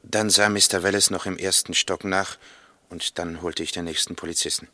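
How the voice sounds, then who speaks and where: Sober male witness, low bright voice. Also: fairly even intonation, normal speed, no room sound, clean studio sound. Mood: sober recounting. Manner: factual, step-by-step. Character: male witness, plain neighbor soberly recounting a grim discovery. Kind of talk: 1960s German radio play acting.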